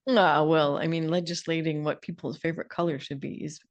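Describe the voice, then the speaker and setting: A woman's voice: sits low, fairly even intonation, fairly quick. A woman co-hosting a conversational podcast, wry and questioning as she talks ideas over. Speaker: podcast co-host.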